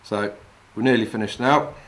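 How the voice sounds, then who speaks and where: A middle-aged man from England calmly talking through each step of tying a fishing fly while he works with his hands. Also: fairly low pitch, normal speed, a little hiss or hum, poor audio. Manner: calm, practical, talks while working with his hands. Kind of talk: step-by-step demonstration. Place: wooden lodge room, indoors.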